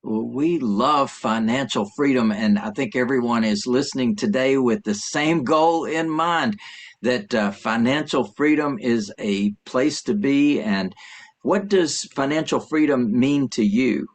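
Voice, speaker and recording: A man's voice: mid-range voice, measured pace. Upbeat older man hosting a podcast. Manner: upbeat, enthusiastic. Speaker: podcast host.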